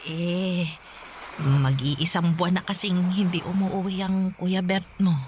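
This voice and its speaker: A woman playing a weary, gentle old grandmother who speaks hesitantly and softly in a radio drama. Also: on the low side, flat delivery, measured pace, soft background music, lightly acted. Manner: hesitant, soft-spoken, pleading.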